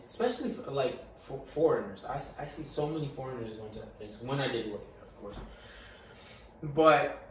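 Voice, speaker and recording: A young man co-hosting a casual podcast, telling a story animatedly and stuttering and repeating words. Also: medium pitch. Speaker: podcast co-host. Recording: echoey room, mic far off, faint noise.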